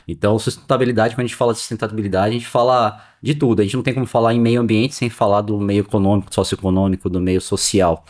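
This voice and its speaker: A lowish male voice with a São Paulo interior Brazilian accent, talking casually in his forties as a podcast guest. He explains things in a friendly, rambling way and keeps checking in with his listener. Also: steady tone, quick pace, hardly any pauses, darkish tone. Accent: São Paulo interior Brazilian Portuguese. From Sorocaba, São Paulo state, Brazil. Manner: friendly, explanatory, many filler tags.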